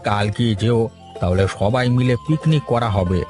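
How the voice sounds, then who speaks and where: Man acting a low-voiced kindly Bengali village father-in-law. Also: moderate pace, natural voice. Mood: eager, welcoming. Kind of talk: Bengali cartoon story voice acting. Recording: music behind the voice.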